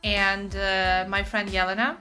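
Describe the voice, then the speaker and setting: Girl in her 20s, bright chatty voice, lots of likes and uhs, faint music behind. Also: mid-range voice, flat delivery, a little slow. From Serbia. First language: Serbian. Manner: chatty, laughing often, many fillers. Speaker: podcast co-host. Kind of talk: casual chat. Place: living room.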